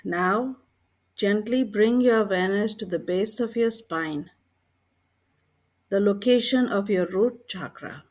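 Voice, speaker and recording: A woman leads a guided meditation with a calm, gentle delivery. She reads in short, measured phrases and leaves unhurried pauses between them. Her voice sits at an average pitch for a woman. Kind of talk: scripted guided meditation narration.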